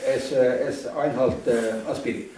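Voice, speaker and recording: A man interpreting for a tour group, halting and hesitant, filling pauses as he searches for words. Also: medium pitch, fairly even intonation, dark tone. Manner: hesitant, many fillers and restarts. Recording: echoey room from far off with faint noise.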